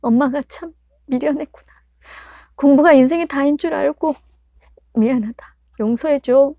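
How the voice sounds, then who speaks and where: A woman voicing a softly apologetic mother. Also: high-pitched, moderate pace, no echo, clean studio sound, voice pushed up. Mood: remorseful. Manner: soft, apologetic, pleading. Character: remorseful, patient middle-aged mother.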